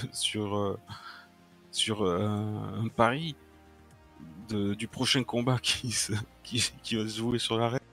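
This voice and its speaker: A man playing a tabletop role-playing game, speaking haltingly and often repeating his words. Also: on the low side, fairly even intonation, a bit bright, faint music behind. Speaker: player. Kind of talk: casual role-play talk.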